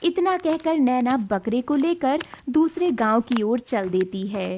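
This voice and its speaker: Slow-paced woman narrating a story. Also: high voice, lively intonation, darkish tone, slight background noise.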